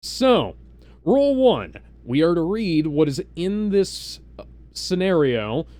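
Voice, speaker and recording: A man hosting a live stream, casually explaining things in a halting, rambling way with mock-serious asides. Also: fairly high pitch, very animated, darkish tone, no room sound. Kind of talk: casual live introduction, explaining party game rules.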